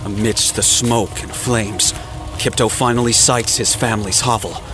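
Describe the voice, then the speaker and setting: A man's voice: lowish voice, fairly even intonation, moderate pace. Male dramatic narrator over prominent music. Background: music and sound effects.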